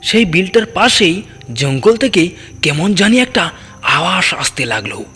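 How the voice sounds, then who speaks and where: Male narrator for a cartoon horror tale, slightly high voice, swinging expressive delivery, cavernous echo. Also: a little slow, breathy edge. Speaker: storyteller narrating a first-person ghost tale. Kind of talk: dramatic scripted narration for a cartoon horror story. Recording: long cavernous reverb, music underneath. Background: background music.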